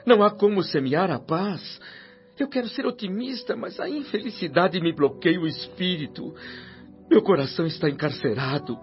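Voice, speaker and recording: Sad self-pitying doctor moaning about his pain, dull radio sound, soft music under it. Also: high-pitched, expressive, normal speed. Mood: despairing. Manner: lamenting, pleading. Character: grieving middle-aged male doctor, self-pitying. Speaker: André Luís. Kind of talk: radio drama acting. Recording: dull radio drama audio with faint music.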